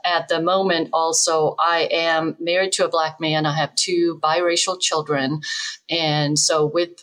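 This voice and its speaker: Woman, middle-aged, from Denmark, fairly low voice, expert guest, explanatory interview answers. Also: level tone, measured pace, fairly bright tone. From Denmark, moved to the US in her twenties. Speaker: expert guest, developmental psychologist.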